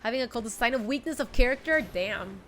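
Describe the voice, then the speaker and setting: A woman's voice: a little high, expressive, quick pace, fairly bright tone, slight background noise. A young woman with a stuffy cold, chatting casually as she plays a card game on stream and answers her chat. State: congested with a cold. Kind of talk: casual live-stream commentary. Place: home streaming room, indoors.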